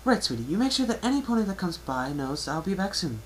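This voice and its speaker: Casting: male reader as refined female cartoon pony, fussy and genteel, voice pushed high, noisy home recording. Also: big pitch swings, fast, a bit bright. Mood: brisk, reassuring. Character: refined, ladylike female pony boutique owner.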